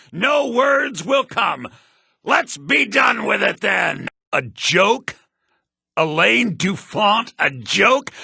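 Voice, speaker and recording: A brash fantasy townsman and fighter from a video game. His voice is rough and forceful, pitched on the high side for a man. He takes his time over the words and has a sharp tongue. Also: no room sound, clean studio sound, heavily acted. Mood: gloating, theatrical.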